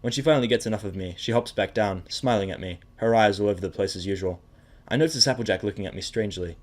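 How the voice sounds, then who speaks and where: A man's voice: lowish voice, fast talker. A man narrating a scripted first-person audio drama story, also speaking as the main character.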